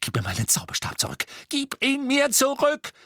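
A man voicing a furious, shrill teenage girl in an audiobook, shouting with biting sarcasm and heavy emphasis. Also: sing-song, fairly quick, slightly rough, dry recording, studio quality, full character voice. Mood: enraged. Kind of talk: audiobook character voice.

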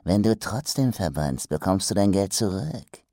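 A man voicing a pushy, fast-talking male street hawker with a wheedling sales patter that sinks into hushed whispers. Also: sits low, animated, no room sound, clean studio sound. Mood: conspiratorial sales pitch.

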